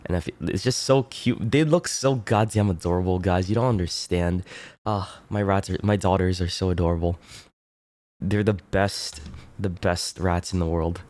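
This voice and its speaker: Low-voiced, stuffy-nosed man chatting. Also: moderate pace, a little mellow, no echo. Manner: laid-back, rambling, swears casually, keeps clearing throat. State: congested, stuffy nose. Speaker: streamer. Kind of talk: casual chat with viewers.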